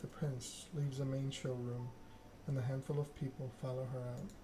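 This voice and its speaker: A man reading a story game aloud in an ASMR style, with hushed, measured narration and dialogue. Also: mid-range voice, little pitch movement, music in the background, poor audio.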